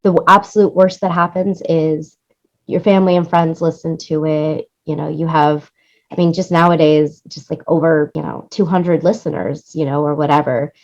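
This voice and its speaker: Woman late 30s chatting in an interview, kinda low voice, really stresses her points, muffled lo-fi sound. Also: fairly even intonation, moderate pace, a little mellow. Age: late thirties. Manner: emphatic, repeats points for stress. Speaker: interview guest, music supervisor and producer. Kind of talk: casual interview talk.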